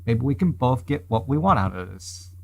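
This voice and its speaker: A man's voice: mid-range voice, big pitch swings, normal speed, creaky. A man voicing a wary, nerdy hostage inventor who argues dryly in a visual novel. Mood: wary, reasoning. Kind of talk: reading visual novel dialogue and inner thoughts.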